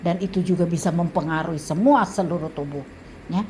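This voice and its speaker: A woman in her seventies with an Indonesian accent, talking casually about home remedies, pausing often with fillers and restarts. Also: lowish voice, dark tone, a little hiss or hum. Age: 70s. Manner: halting, with frequent fillers and restarts. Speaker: health vlogger. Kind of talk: casual advice talk.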